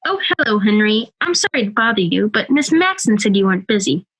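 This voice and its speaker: A woman's voice: medium pitch, big pitch swings, fairly quick, fairly bright tone, husky, full character voice, rough recording. A woman playing an anxious, hesitant housewife and mother in an amateur audio drama. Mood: nervous, evasive.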